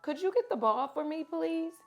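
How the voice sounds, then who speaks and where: A woman voicing small, excited children politely asking for things in a storybook. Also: high voice, normal speed, creaky, muffled recording, faint music behind, pitched above the performer's own voice. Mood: eager, pleading. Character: small children, excited and politely eager toy-shop customers.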